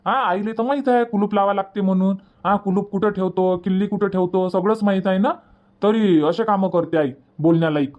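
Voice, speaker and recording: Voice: mid-range voice, fairly quick, muffled recording, close to an everyday voice. A performer voicing a scolding, bossy village husband and father in a Marathi cartoon comedy, with a Varhadi accent from Vidarbha. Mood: exasperated.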